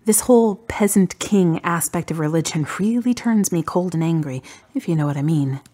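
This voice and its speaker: A husky, low-voiced, wry grieving daughter. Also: female voice, animated, normal speed, warm tone. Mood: reflective. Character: Charity, grieving daughter, wry, stubborn and guarded. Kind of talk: radio drama acting.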